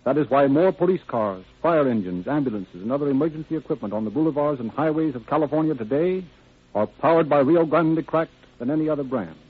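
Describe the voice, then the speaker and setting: A man's voice: on the high side, animated, a little fast, slightly rough. A man announcing and narrating a scripted 1930s radio program in a formal, salesmanlike manner. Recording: tinny 1930s radio recording.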